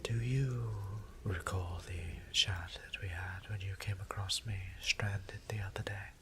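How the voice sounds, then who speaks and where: A man playing a weary, tender vampire priest in an intimate audio roleplay, whispering breathily with halting, formal speech. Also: on the low side, fairly even intonation, slight background noise. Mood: solemn, troubled. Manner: hushed, halting, formal wording. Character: weary, tender vampire priest, adult male, troubled exile.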